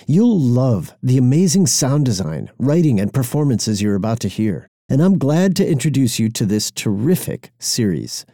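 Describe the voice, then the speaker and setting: Lively, mellow-voiced male podcast host. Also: medium pitch, dry recording, clean studio sound. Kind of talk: scripted show introduction.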